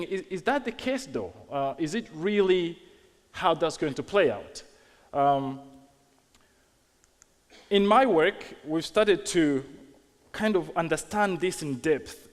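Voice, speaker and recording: A young man from Rwanda gives a conference talk as an architect, pausing often on ums and uhs and dropping wry asides. His voice sits a little high for a man. The sound carries a long reverb from a big hall. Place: large hall on stage.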